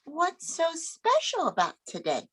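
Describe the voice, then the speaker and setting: Woman playing a very high-pitched, excitable little girl. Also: unhurried, exaggerated voice. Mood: curious. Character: curious, excitable young schoolgirl daughter in radio soap. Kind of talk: old-time radio drama acting.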